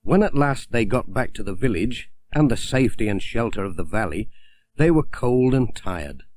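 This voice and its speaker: A man narrating a children's story as an audiobook reader, in a gentle, expressive storytelling manner. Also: on the low side.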